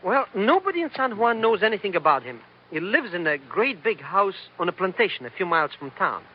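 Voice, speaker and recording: Excitable high-pitched comic radio sidekick. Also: male voice, fairly quick, slightly rough, exaggerated voice. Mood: flustered, defensive. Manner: flustered, hesitant, over-eager. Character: excitable, bumbling comic sidekick, a fast-talking schemer. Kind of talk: 1940s radio acting. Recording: muffled, noisy old radio recording.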